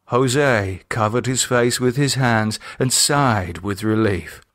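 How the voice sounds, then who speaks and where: A male author narrates his horror story in a medium-pitched voice. The delivery is scripted, deliberate and dramatic, like an audiobook. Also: fairly bright tone.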